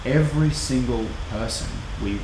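A young Australian man speaks in a mid-pitched voice, chatty and quick to laugh. He sounds relaxed as he trades stories across a table. The room is echoey, with an air-conditioning hum clearly running behind him. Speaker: watchmaker and co-host. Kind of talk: casual livestream chat. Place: indoor watchmaking workshop.

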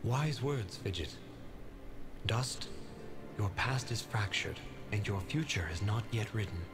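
A man voicing an ancient talking sword in a game, calm and measured like a sage. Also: lowish voice, music in the background. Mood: solemn. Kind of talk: game cutscene dialogue.

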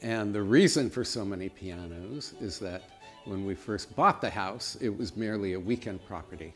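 A man's voice: lowish voice, moderate pace, fairly bright tone, soft background music. Chatty middle-aged man guiding a house tour. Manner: chatty, friendly tour-guide delivery. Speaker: home vlogger giving a house tour. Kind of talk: casual house tour narration to camera. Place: large old house with high-ceilinged rooms, indoors.

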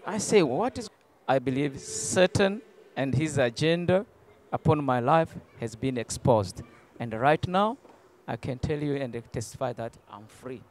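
A man with a Congolese accent gives his testimony at a slow pace. His pitch is average and his phrasing is halting and broken up. He speaks as a congregant to camera, close on a handheld mic. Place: outdoor arena stands. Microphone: handheld interview microphone held close.

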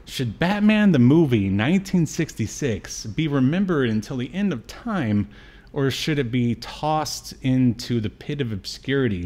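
A middle-aged man chatting casually as a podcast host, talking from a room at home over a video call. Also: mid-range voice. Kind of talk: casual chat on a film review stream.

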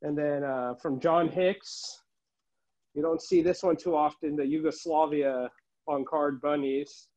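A middle-aged man giving a casual show-and-tell tour as a collector, listing items haltingly, recorded indoors on a handheld phone. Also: on the high side, fairly even intonation, a little mellow. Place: room at home, indoors. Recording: dull, muffled phone audio.

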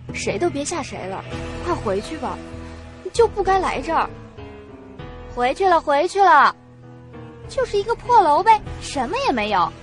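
A woman playing a nervous, irritable young female student in an audio drama, speaking in an emotive, exclamatory way. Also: sits high.

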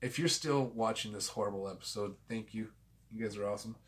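A man's voice: lowish voice, brisk, a little hiss or hum. A man in his forties hosting a home vlog, talking loosely and swearing freely after a couple of drinks, recorded on a desk camera in a small room. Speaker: vinyl collector channel host. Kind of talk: casual rambling vlog. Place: small home room with framed pictures and a guitar.

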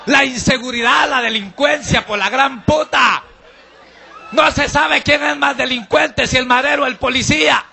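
A man with a Guatemalan accent doing a crude, shouting devil doctor character in a live stand-up act on a handheld mic. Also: very high-pitched, moderate pace, vocal fry, husky, some chatter behind, exaggerated voice. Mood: rowdy. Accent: Guatemalan Spanish. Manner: shouting, vulgar, mocking. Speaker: stand-up comedian. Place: outdoor-style stage at a live charity show.

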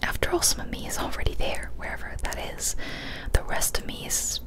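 A young woman whispers throughout, soft and breathy. She reads a spooky tale as ASMR, unhurried, with careful pauses between phrases. Her mouth is close to a studio condenser microphone. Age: young adult. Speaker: ASMR storyteller. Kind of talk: whispered ASMR horror story reading. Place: small decorated indoor room. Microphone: large studio condenser mic, up close.

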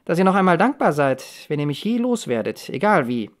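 A woman's voice: sits low, big pitch swings, fairly quick. A woman reading an audiobook in the role of a defiant, grumbling younger brother in his teens. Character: sulky, cheeky, defiant teenage boy, a younger brother. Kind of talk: audiobook character dialogue.

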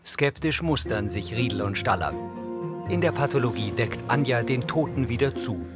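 A man's voice: mid-range voice, expressive, normal speed. A man reading scripted narration that describes what happens on screen. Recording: music under the narration.

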